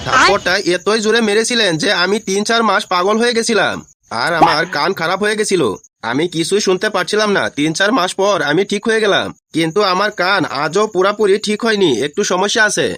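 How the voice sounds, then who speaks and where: A man's voice: high voice, fast talker, sharp tone, no room sound, studio quality. A man voicing a meddlesome, irritable neighbour uncle in a comedy cartoon, scolding and exclaiming. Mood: complaining.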